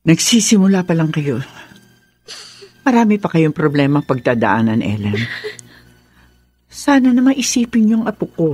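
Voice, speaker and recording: An actress playing a gentle, self-sacrificing older mother with a soft husky voice and Filipino accent in a radio melodrama. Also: sits low, sing-song, normal speed, pauses often, faint music behind, exaggerated voice. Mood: consoling sorrow.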